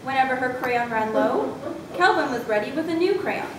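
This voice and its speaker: A woman's voice: animated, normal speed. A woman reading scripted story narration as a narrator in amateur readers' theatre. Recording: distant, very echoey room, noisy with rumble.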